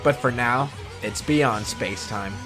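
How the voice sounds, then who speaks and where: A man's voice: medium pitch, a little slow. A man hosting a scripted countdown video, delivering commentary with wry, self-deprecating asides. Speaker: video host. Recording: background music under the voice. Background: music.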